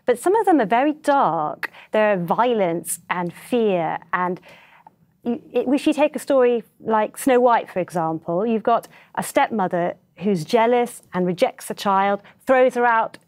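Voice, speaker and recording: A woman's voice: mid-range voice, lively intonation, a little slow, a little mellow. A woman speaking as an interview guest in a studio, explaining things earnestly and conversationally. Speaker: children's author, interview guest.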